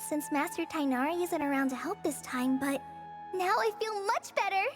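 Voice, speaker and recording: A woman's voice: high-pitched, normal speed, faint music behind, natural voice. A woman playing an earnest, shy young female ranger trainee in a video game, polite and slightly hesitant. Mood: earnest, friendly.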